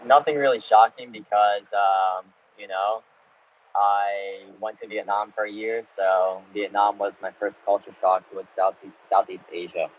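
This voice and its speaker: A man, though his is Russian. He answers live chat questions in a relaxed, chatty way and laughs between answers. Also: fairly low pitch, unhurried. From Ukraine, grew up in the United States. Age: 20s. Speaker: travel YouTuber. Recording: dull lo-fi sound with faint background noise.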